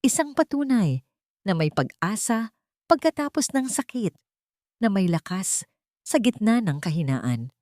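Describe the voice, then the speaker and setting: A woman's voice: medium pitch, very animated, measured pace, pauses often, a little mellow, no echo, clean studio sound, pitched above the performer's own voice. A woman voicing a resolute, hopeful young mother in a dramatic story, speaking in firm, solemn declarations. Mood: impassioned, resolute. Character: resolute young mother, once victim, now hopeful leader.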